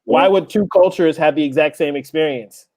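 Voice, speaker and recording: A man who is a guest chef, relaxed and thoughtful in casual interview chat over a video call. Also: a little high, moderate pace, dry recording. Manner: relaxed, thoughtful, laughs easily. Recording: dull lo-fi call audio.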